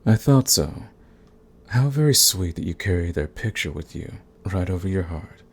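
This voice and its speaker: Man acting a low, gravelly, predatory vampire lord. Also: animated, exaggerated voice. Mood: mock sympathy. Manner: theatrical, silky, condescending endearments, drawn-out phrasing. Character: suave sadistic male vampire lord, predatory, mocking, possessive. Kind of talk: ASMR roleplay acting.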